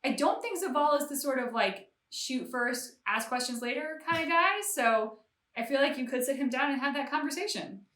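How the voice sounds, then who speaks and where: Bright, echoey woman in casual discussion. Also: sits high, expressive, moderate pace, a little hiss or hum. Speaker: co-host of a reaction show. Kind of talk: casual discussion of a game story. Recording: roomy, echoey home-recorded sound.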